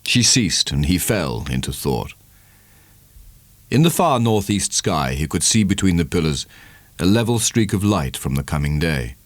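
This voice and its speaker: A man narrating a literary audiobook in a measured, dramatic storytelling manner. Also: sits low, sing-song, no room sound.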